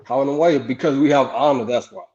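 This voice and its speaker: A man's voice: medium pitch, fast talker, darkish tone. A young man from Somalia in a heated casual debate, defensive, restarting often and tacking "man" onto his lines. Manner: defensive, repeats "man" and "look", stammers. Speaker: debate guest. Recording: muffled stream with faint noise.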